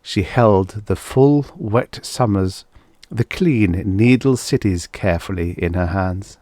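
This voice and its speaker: A man narrating fiction in a dramatic, measured and deliberate literary reading style. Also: fairly low pitch, a little mellow, dry recording. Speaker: audiobook narrator.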